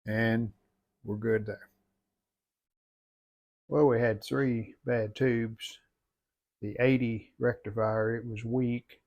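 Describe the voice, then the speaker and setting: A man's voice: medium pitch, flat delivery, slow, pauses often, muffled recording. A middle-aged man giving unscripted how-to commentary at a workbench in a plain, folksy, matter-of-fact way. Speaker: hobbyist repair vlogger. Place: indoor home workshop at a workbench.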